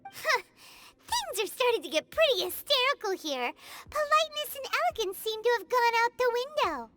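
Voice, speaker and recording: A woman voicing a tiny childlike fairy companion in a video game, squeaky, chirpy and cheeky. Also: sing-song, normal speed, a bit bright, exaggerated voice. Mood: wry. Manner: speaks of herself in third person, chirpy.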